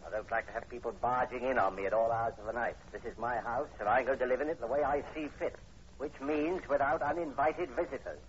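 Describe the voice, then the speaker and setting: Actor as a hostile, snapping middle-aged farmer, muffled old radio. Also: male voice, medium pitch, fairly even intonation, brisk, close to an everyday voice. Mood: hostile, threatening. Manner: curt, irritable, threatening. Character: hostile, reclusive, ill-tempered farm landowner in his forties. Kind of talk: 1940s radio horror acting. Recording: dull, noisy old radio broadcast recording.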